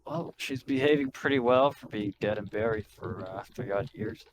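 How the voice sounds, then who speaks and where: A man takes part in a tabletop roleplaying game. His voice sits fairly low and his delivery is casual. The recording is clearly noisy behind him. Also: normal speed.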